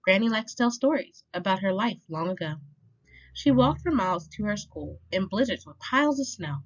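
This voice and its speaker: A woman reading a children's rhyming picture book aloud in an expressive, clearly paced way, as a storytime reader. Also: mid-range voice, normal speed. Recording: dull lo-fi sound.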